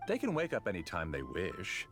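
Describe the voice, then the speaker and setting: Brief: low-voiced grieving scholar, animated yet calm, with soft game score behind. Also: male voice, normal speed. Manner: calm, self-justifying. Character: obsessed grieving male scholar, calm villain. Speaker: game character. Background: game music.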